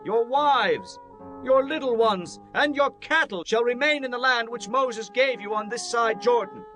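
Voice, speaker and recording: An actor playing a commanding leader of ancient Israel, declaiming in a dramatized scripture reading. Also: male voice, extremely high pitch, measured pace, music in the background. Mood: solemn, exhorting.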